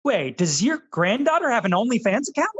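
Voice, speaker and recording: An actor plays a young Zoomer co-host in a radio comedy sketch. The pitch leaps around a lot, and the talk is casual, slangy and exasperated. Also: big pitch swings, a little fast, a bit bright, husky, dull audio. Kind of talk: scripted comedy radio banter.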